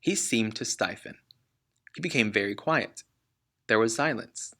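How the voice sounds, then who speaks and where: A man reading a children's book aloud as a teacher, in an expressive storytelling style. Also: on the low side, a little slow.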